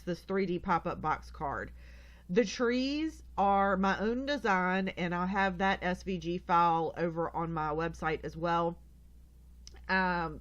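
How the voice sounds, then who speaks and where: A woman's voice: on the low side, muffled recording. A woman with a stuffy, congested nose hosts a casual craft tutorial, chatty and rambling, often correcting herself as she goes.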